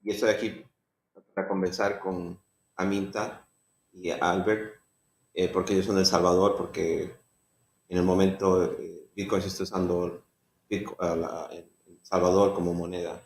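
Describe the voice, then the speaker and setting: Low-voiced man, halting, echoey. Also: level tone, creaky, slight background noise. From Bolivia. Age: middle-aged. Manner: halting, many fillers. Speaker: real estate agent and guest. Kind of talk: radio talk show chat.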